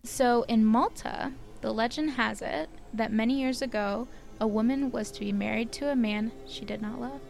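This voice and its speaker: A woman's voice: fairly high pitch, moderate pace, vocal fry, few pauses, a little mellow, faint music behind. A woman from Canada co-hosting a podcast, casually telling old legends with lots of ums and likes. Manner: chatty, lots of likes and ums, laughs at own stumbles. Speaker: podcast co-host.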